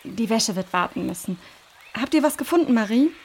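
Assured, caring search leader. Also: female voice, on the high side, lively intonation, fairly quick, a little hiss or hum. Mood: thoughtful, puzzled. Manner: assured, reasoning, gently directing. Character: composed, caring female leader of a search party. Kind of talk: children's audio drama acting.

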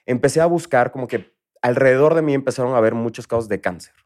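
A man in his thirties from Mexico with a Mexico City accent, a guest in a casual interview, talking in a relaxed, rambling way with many fillers. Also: medium pitch, quick pace. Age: 30s. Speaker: guest entrepreneur.

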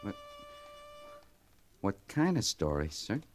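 An actor playing a plainspoken, soft-hearted cavalry sergeant, folksy and deferential, in a radio western. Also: male voice, fairly low pitch, sing-song, slow. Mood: sheepish. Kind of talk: 1950s radio western acting. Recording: noisy old radio recording.

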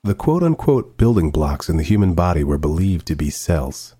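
An actor playing a humanlike male AI robot in a sci-fi audio drama, measured and philosophical with dry humor. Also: low voice, sing-song, warm tone. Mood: detached, musing. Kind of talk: scripted audio drama acting and narration.

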